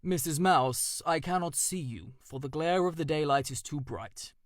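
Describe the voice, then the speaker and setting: A man voicing a stern, formal male owl with a deep, sonorous voice, speaking slowly in a storybook reading. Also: no room sound, studio quality, natural voice. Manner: formal, slow and measured.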